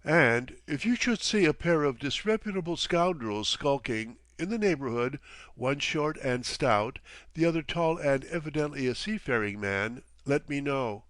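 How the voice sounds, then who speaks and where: A man voicing a genial, theatrical elderly gentleman with a courtly, florid, playfully pompous manner in an audiobook story. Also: on the high side, expressive, moderate pace, no echo, pitched above the performer's own voice. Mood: brisk, commanding.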